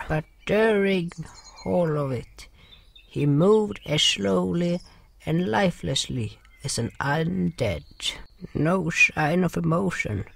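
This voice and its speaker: Male actor as gentle mournful creature narrator, solemn, slow, higher-pitched, audio drama. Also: vocal fry, a little hiss or hum, full character voice. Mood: somber. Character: gentle, sorrowful non-human narrator River, caring companion.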